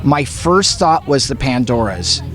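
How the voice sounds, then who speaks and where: A man's voice: medium pitch, sing-song, measured pace, fairly bright tone, soft background music. A man interviewing a band, asking questions and bringing up rock history.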